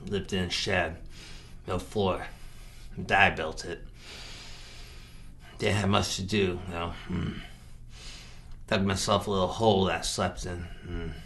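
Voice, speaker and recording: A man acting a slow, low, monotone rural killer. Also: a little hiss or hum, exaggerated voice. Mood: flat, halting. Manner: halting, deadpan, grunts "mm" between short phrases. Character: slow, simple, flat-voiced rural killer confessing his past. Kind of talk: improvised film monologue reenactment.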